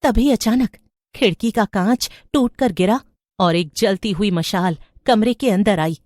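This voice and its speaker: A woman's voice: medium pitch, measured pace, a little mellow, no echo, clean studio sound. A woman reading scripted narration for a horror story.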